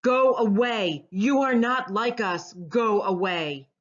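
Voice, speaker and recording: A woman's voice: medium pitch, slow, lo-fi sound, close to an everyday voice. A woman voicing a bossy, bullying big male crab in a children's storybook, bellowing out commands. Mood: bullying, angry.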